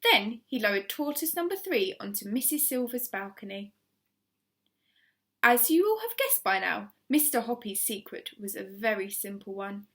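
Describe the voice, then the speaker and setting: A woman reading a children's story aloud like a primary teacher, expressive and deliberate, leaning on key words. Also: mid-range voice.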